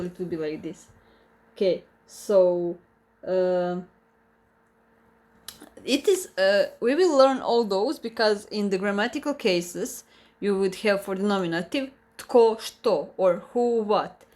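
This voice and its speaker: A woman with a Croatian accent teaches a live online language lesson. She talks at an unhurried, deliberate pace, in a voice of middling pitch. She works through each point carefully, restarting phrases and repeating examples. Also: darkish tone.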